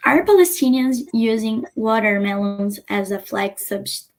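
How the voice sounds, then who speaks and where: A woman moderating a lecture, reading out an audience question haltingly and stumbling over a word. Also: mid-range voice.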